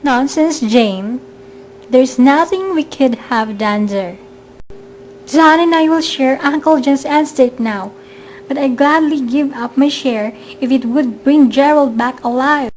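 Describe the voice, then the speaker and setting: A woman playing a scheming, greedy murderess in an amateur radio drama, falsely sweet and coaxing, with smooth reassurance. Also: high voice, slow pace, darkish tone, poor audio. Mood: falsely reassuring. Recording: faint background noise, amateur recording.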